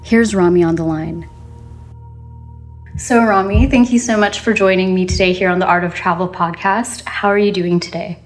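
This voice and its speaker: A woman hosting a podcast, reading a polished introduction and asking interview questions in a measured way. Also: medium pitch, steady tone. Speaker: podcast host. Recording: music under the voice.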